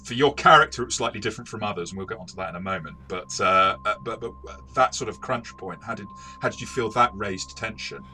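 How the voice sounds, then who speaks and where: Low-pitched man in game review chat. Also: quick pace, few pauses, pushed and bright, faint music behind. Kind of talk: conversational review discussion.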